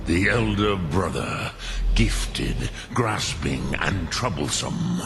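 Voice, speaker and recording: A male actor playing an ancient, wise dragon in a fantasy video game, patient and weary, with a gravelly voice and slow, formal delivery. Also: low voice, lively intonation, very noisy, exaggerated voice. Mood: patient, probing. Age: older. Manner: slow, deliberate, formal, with long pauses.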